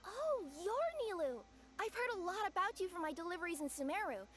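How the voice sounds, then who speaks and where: A woman voicing an excitable, flustered young cat spirit courier in a video game, stammering and exclaiming. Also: extremely high pitch, brisk. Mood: eager, flustered.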